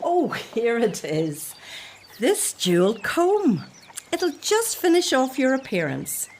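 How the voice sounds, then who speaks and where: A bustling, bossy but loving servant woman from a radio drama. Her voice is on the high side and leaps wildly in pitch as she fusses and scolds. A faint hiss sits under the recording. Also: huge pitch swings, slow, exaggerated voice. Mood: fussing. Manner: bossy, fussing, affectionate. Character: bustling older woman servant, fussy and motherly.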